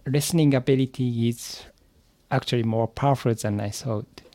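A man from Japan with a Japanese accent, answering interview questions as an English learner, haltingly searching for words. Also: medium pitch, mellow, dry recording. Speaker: podcast guest, English learner.

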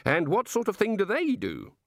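A man's voice: sits high, wildly swooping pitch, normal speed, no echo, clean studio sound, voice pushed up, heavily acted. A man voicing an innocently curious, fussy god in an audiobook, inquisitive and precise. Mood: bright, curious. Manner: clinical, inquisitive, oblivious, precise wording.

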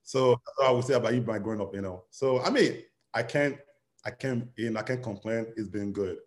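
A young man chats as a guest, wandering through his thoughts with constant fillers. His voice sits at an everyday middle pitch for a man. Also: fairly quick. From Nigeria. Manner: rambling, many "you know" fillers. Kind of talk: casual interview chat.

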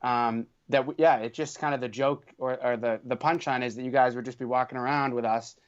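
Boston-accented male co-host, loose and jokey, middle pitch, dull recording. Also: fairly even intonation, brisk, clear, resonant tone. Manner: joking, rambling with fillers. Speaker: podcast co-host. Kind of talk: casual chat.

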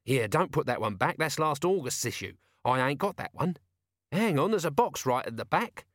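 A man's voice: on the high side, very animated, normal speed, no echo, clean studio sound, voice pushed up. A man voicing a scruffy, naive police corporal in an audiobook, colloquial and always questioning. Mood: uneasy. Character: scruffy, artless, sceptical low-ranking male police corporal.

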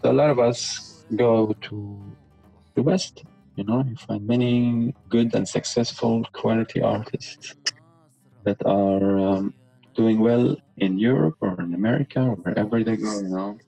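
A fairly low-pitched young man talks things through in a thoughtful, earnest way, tagging his sentences with "you know". Faint music sits quietly behind his voice. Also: measured pace, gravelly, rough recording. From Palestine. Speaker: musician guest. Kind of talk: reflective interview answers.

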